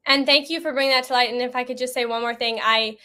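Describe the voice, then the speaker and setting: A woman's voice: high voice, steady tone, fast talker, bright, forceful tone. A young adult woman speaking casually and earnestly as an interview guest.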